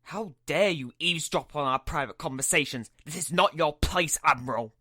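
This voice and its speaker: Man playing an arrogant, hot-tempered dark lord, bright and forceful. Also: on the high side, moderate pace, dry recording, studio quality. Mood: angry, threatening. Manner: threatening, imperious. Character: arrogant, hot-tempered Sith lord master, menacing and domineering. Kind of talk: amateur sci-fi roleplay acting.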